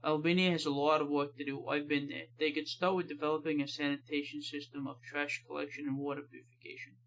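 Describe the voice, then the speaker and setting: A man's voice: fairly high pitch, flat delivery, fast talker, fairly bright tone, low-quality recording. An American man hosting a reaction video, chatting casually and reading comments aloud with laid-back, offhand asides. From United States.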